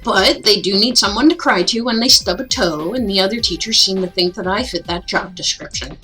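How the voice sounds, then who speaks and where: A woman's voice: medium pitch, a little fast, pushed and bright, faint music behind, natural voice. A woman voicing a wisecracking, slacker male mentor from a story game in a teasing, mock-grand way. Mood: casual, teasing. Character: breezy, wisecracking male school counselor, playful slacker mentor.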